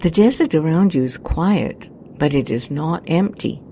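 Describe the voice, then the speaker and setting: A woman narrating a scripted guided meditation in a soothing, hushed, unhurried manner. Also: deep voice, expressive, slight background noise.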